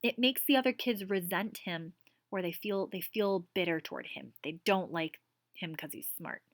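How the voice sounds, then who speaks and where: A woman's voice: fairly low pitch, moderate pace, no room sound, clean studio sound, lightly acted. A woman voicing a weary middle-aged female teacher who is distraught and pleading. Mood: distressed. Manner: pleading, emotional.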